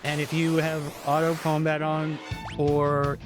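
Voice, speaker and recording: A man's voice: a little high, completely flat delivery, measured pace. A man giving casual, rambling, explanatory commentary as he plays through a video game. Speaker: gameplay commentator. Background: game music.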